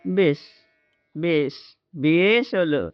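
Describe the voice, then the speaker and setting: Muddled old mum, childlike n repetitive, slow drifting speech, dull recording w music behind. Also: female voice, fairly low pitch. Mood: childlike delight. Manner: rambling, repetitive, sing-song. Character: confused, childlike elderly mother pining for her son. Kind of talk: Bengali radio drama acting.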